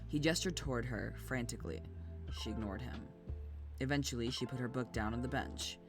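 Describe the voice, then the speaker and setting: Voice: mid-range voice, fairly quick. A streamer reading a bedtime story aloud, with casual, sweary, chatty asides to viewers. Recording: music playing behind the voice. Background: background music.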